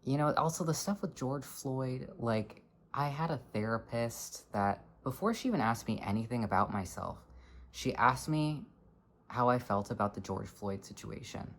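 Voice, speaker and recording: Low-voiced young adult, earnest and halting. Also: expressive, a little hiss or hum. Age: 20s. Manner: earnest, halting, searching for words. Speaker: vlogger. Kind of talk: personal reflective monologue.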